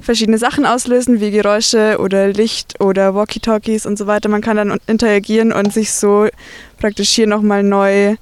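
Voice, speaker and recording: A young woman from Bavaria, an architecture student, calmly explaining her project outdoors. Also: mid-range voice, a little fast, smooth. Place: outdoors in a garden.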